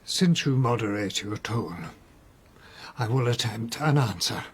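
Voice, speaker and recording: An actor playing a proud, indignant lord in a radio drama, speaking with haughty formality. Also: male voice, medium pitch, animated, unhurried, slightly rough. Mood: stiff, controlled. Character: proud, indignant male lord suspected of murder. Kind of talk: BBC radio drama acting.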